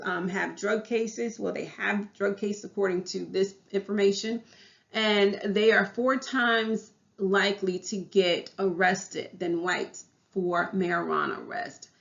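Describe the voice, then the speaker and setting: A woman's voice: medium pitch, fairly even intonation, a little slow, creaky, lo-fi sound. A woman in her thirties with a Southern US accent, a teacher giving a class presentation in an earnest way, often restarting her phrases. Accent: Southern US English.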